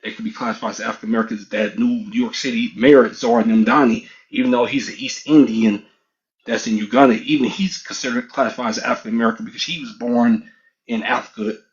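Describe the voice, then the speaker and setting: A middle-aged man makes his case in a casual online room, pressing hard and circling back over the same points. His voice sits at an ordinary pitch for a man. The audio comes through dull and lo-fi. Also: steady tone, quick pace. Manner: assertive, rambling, repetitive. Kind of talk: casual heated argument.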